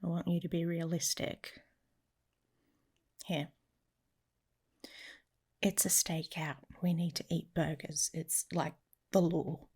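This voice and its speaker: Woman acting a husky, whispery, teasing she-wolf lover. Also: lowish voice, little pitch movement, a little mellow, exaggerated voice. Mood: casual, gently cautioning. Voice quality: breathy, often whispered, husky. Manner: slow, murmuring, with hums and questioning pauses. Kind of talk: intimate ASMR roleplay addressed to the listener.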